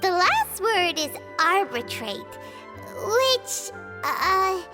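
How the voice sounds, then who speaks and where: A squeaky, extremely high little fairy sidekick from a video game. The childlike creature is cheeky and opinionated, with big swoops in pitch and loud music playing behind. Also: female voice, sing-song, extremely slow, full character voice. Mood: explaining. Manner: speaks of self in third person, exclamatory, explanatory. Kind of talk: video game character voice acting.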